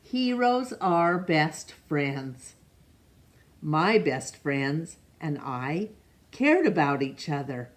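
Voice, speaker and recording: A woman reading a picture book aloud to children, expressive and pausing to ask young listeners questions. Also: low voice. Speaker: storytime reader.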